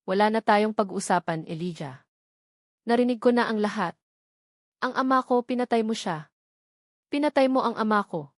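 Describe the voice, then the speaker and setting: A woman's voice: mid-range voice, normal speed, long pauses, no echo, studio quality, natural voice. A woman voicing a bitter, unyielding wife in an audio drama, accusing and emphatic.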